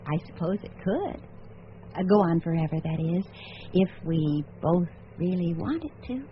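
An arch, animated socialite woman. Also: lowish voice, a little slow, slight background noise. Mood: flirtatious. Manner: arch, theatrical, fond of "darling". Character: witty, flirtatious, self-dramatizing socialite woman. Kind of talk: radio drama acting. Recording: dull lo-fi radio drama audio.

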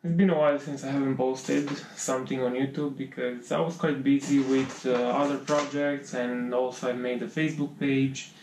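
A man's voice: medium pitch, level tone, normal speed, hardly any pauses, noisy background. A man in his forties from Romania with a Romanian accent, explaining a project in his home workshop, hesitant and often correcting himself. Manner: hesitant, self-correcting, many fillers. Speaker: maker vlogger. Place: home workshop with pegboard and bench.

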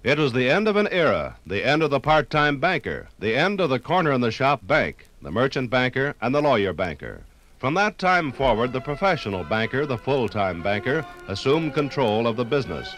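A man narrating a scripted 1950s radio documentary in a measured, solemn storytelling manner. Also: medium pitch, sing-song, fairly bright tone. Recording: 1950s vinyl radio recording, music underneath.

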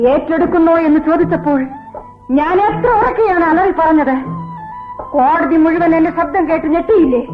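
An actress playing an anguished, accusing woman convict haunted by her killings, in a theatrical, declamatory radio drama style. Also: very high voice. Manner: dramatic, declamatory, swinging from hushed to crying out. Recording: tinny, phone-like old radio recording.

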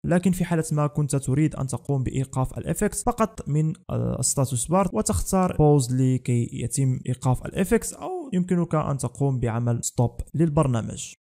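A man's voice: on the high side, animated, fast, hardly any pauses, dark tone. A young man narrating a scripted tech tutorial as the host of a channel.

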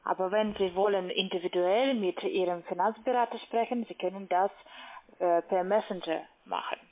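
A non-native speaking woman with a foreign accent, working as a call-center investment agent and talking haltingly as she searches for words. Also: medium pitch, steady tone. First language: not German. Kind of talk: phone sales conversation. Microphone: telephone. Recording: tinny narrow phone line.

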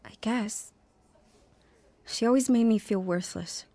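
A woman's voice: on the high side, takes it slowly, warm tone, no echo. Earnest, wounded young woman. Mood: hesitant, hurt. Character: earnest, wounded young woman telling her life story. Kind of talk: dramatized first-person testimony narration and dialogue.

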